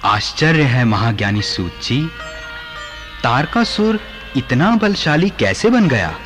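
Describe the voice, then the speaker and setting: An actor playing an earnest, curious sage who asks questions in a theatrical radio drama manner. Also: male voice, medium pitch, sing-song, slow. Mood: amazed. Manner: theatrical, inquiring. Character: earnest, curious male sage listening to legends. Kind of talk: mythological radio drama acting. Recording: studio radio play with music behind.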